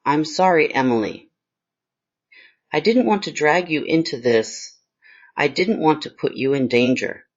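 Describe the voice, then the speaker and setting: A man's voice: fairly high pitch, animated, a little slow, long pauses. A man playing a grave, fearful investigator mother in a screenplay reading. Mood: pleading, regretful. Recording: slightly dull, lo-fi sound.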